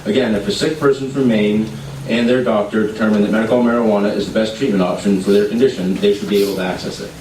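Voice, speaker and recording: A man representing an advocacy group, reading prepared testimony in a measured, formal way at a legislative hearing. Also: fairly low pitch, steady tone, noisy background. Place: legislative committee hearing room. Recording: echoey hearing room, distant mic.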